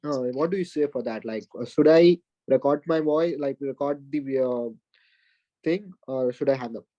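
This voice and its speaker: A man with an Indian accent asking questions on a group video call, halting with many fillers and restarts. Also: medium pitch, warm tone, no echo, dull audio. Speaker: caller in a coaching group.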